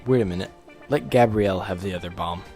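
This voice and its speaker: A man's voice: low-pitched, quick pace, a little mellow, faint music behind, natural voice. A man playing a suspicious, commanding agent on the run in an audio drama, terse and interrogating. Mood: brisk, commanding.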